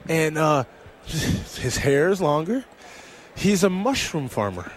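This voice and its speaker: A man's voice: fairly high pitch, takes it slowly, slight background noise. Chatty man hosting sports radio. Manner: animated, chatty, many fillers. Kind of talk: casual sports talk radio banter. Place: broadcast booth on a busy event radio row. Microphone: headset.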